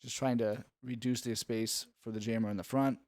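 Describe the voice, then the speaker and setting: A man's voice: mid-range voice, fairly even intonation, normal speed, darkish tone, no echo. Guy doing sports breakdown commentary, explains the plays like a coach, dry close recording no room sound. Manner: instructive, explanatory. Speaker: sports analyst. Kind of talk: analytical play-by-play commentary.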